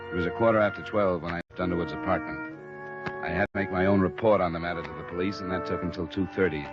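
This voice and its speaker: A man's voice: deep voice, fairly even intonation, fast talker, lightly acted. A man acting a terse, weary insurance investigator in a 1950s American radio detective drama, clipped and dry. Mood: weary narrating. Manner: clipped, dry, matter-of-fact. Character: hard-boiled male insurance investigator, terse, weary, guarded. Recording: dull vintage radio recording with music.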